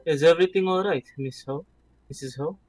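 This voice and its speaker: A man's voice: fairly high pitch, a little fast, a little mellow, dry recording, lightly acted. A man playing a proper, polite English butler with an English accent in an amateur script read-through. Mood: polite, formal.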